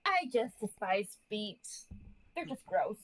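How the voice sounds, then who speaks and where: A woman's voice: mid-range voice, big pitch swings, slow, a bit bright, no room sound, low-quality recording. A woman chatting casually as a player in a tabletop game, speaking in a blunt, emphatic way. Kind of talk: casual chat.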